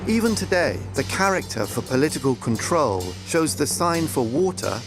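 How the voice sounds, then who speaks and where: A man's voice: a little high, sing-song, moderate pace, music in the background. A man reading a scripted explainer narration as the narrator.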